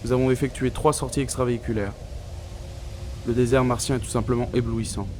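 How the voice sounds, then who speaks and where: A man's voice: medium pitch, little pitch movement, a little fast, close to an everyday voice. A young man playing a composed astronaut on Mars, narrating a formal mission log for a sci-fi audio drama. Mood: composed, awed. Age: young adult. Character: male astronaut on Mars, awed yet composed. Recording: clearly noisy, outdoor vehicle-like ambience.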